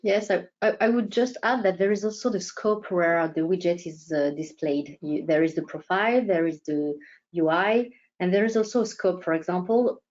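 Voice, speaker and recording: A woman's voice: on the low side, normal speed, a little mellow, lo-fi sound. A woman co-presenting, giving an unscripted technical explanation.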